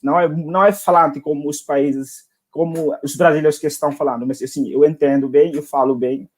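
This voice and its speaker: A man's voice: a little high, steady tone, fairly quick. A young man from Timor-Leste with a Timorese accent, speaking Portuguese as a non-native language. He gives a casual account as an interview guest, often searching for words and repeating phrases. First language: not Portuguese. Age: young adult. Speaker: guest, international university student.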